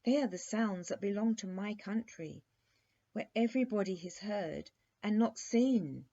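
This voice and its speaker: Woman reading as a courteous, wistful storybook prince, mellow. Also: mid-range voice, a little slow, pitched above the performer's own voice. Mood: explanatory. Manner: polite, plaintive. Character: courteous, wistful young fairy-tale prince. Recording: dull, muffled lo-fi recording.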